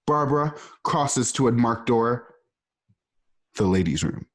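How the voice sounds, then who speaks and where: A man with a husky voice casually narrating stage directions for a radio play, adding asides. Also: mid-range voice, slow. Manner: casual, animated, adds asides.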